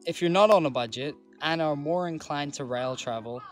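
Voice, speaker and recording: Man narrating a calm travel review. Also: on the high side, soft background music. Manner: measured, matter-of-fact reviewing. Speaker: travel vlogger. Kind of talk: scripted voice-over narration.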